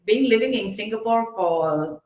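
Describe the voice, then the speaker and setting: A middle-aged woman from Burma, a guest answering politely in halting sentences with many fillers. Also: a little high, lively intonation, a little mellow. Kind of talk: casual interview answers. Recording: echoey room.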